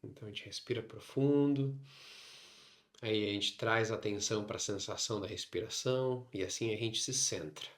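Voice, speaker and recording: A man with a Brazilian Portuguese accent leading a guided meditation, instructing in short phrases separated by long pauses. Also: on the low side. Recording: roomy, echoing sound.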